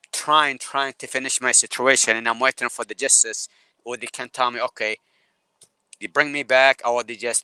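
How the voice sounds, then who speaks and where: A man from Yemen with a Yemeni Arabic accent, speaking as a guest photographer on a live video call, halting and repeating words as he talks. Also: mid-range voice, sharp tone, clear, resonant tone, no room sound, low-quality recording.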